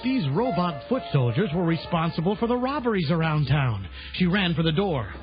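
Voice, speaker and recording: A man's voice: a little high, big pitch swings, normal speed. A man narrating a children's adventure audio drama in a dramatic, scripted storytelling style. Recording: dull lo-fi 1980s audio drama recording. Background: loud music score.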